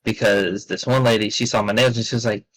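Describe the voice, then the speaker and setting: A man's voice: on the low side, steady tone, quick pace, no room sound, poor audio. A man chatting in a laid-back, rambling way on a podcast, with many fillers. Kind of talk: casual podcast chat.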